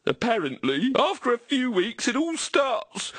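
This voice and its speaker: Timid undead bogeyman: very high, husky voice, glum and hesitant, comic game character. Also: huge pitch swings, measured pace, fairly bright tone, no room sound, heavily acted. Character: shy, mournful undead bogeyman who frightens nobody. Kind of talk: comic video game voice acting.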